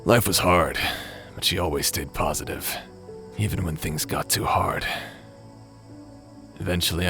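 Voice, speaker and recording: A man playing a guilt-ridden, grieving ex space pirate in an audio drama, speaking haltingly with heavy pauses. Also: very deep voice, sharp tone. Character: grief-stricken, guilt-ridden male ex space pirate brother. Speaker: voice actor in an audio roleplay.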